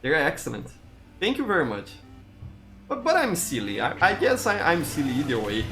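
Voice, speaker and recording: A man's voice: fairly high pitch, huge pitch swings, normal speed, music in the background. A man with a Brazilian accent, a native Portuguese speaker, chatting casually as a game streamer and often repeating words as he searches for them. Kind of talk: casual gameplay commentary, answering chat.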